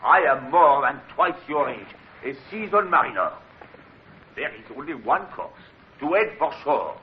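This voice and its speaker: An actor playing a blustering middle-aged French mariner with a French accent in a radio drama. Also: male voice, on the high side, animated, moderate pace, close to an everyday voice. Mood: scornful, defiant. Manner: scornful, blustering. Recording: muffled noisy radio drama recording.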